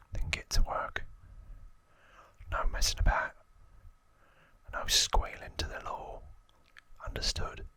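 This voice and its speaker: A man voicing a boastful, threatening London gangster twin in a put-on Cockney accent, whispering his slangy lines from a game manual. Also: bright, forceful tone. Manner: threatening, slangy, self-important.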